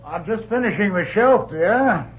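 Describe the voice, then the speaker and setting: Wry father, lively intonation. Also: sits high, slightly rough, exaggerated voice. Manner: wry, measured, probing. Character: dry, skeptical middle-aged father, a doctor. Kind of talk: old-time radio drama acting. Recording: dull, lightly noisy old radio recording.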